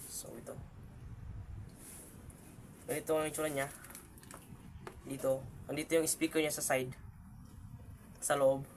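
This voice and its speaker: Filipino man, halting, in a noisy recording. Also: mid-range voice. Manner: halting, mixes Tagalog with English phrases. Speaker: vlogger and product reviewer. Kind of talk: casual unboxing and product demonstration. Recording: clearly noisy amateur vlog recording.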